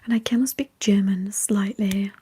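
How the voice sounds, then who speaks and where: Slow, very breathy whispering woman. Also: medium pitch, fairly even intonation, mellow. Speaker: ASMR streamer chatting with live viewers. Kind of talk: whispered ASMR livestream chat.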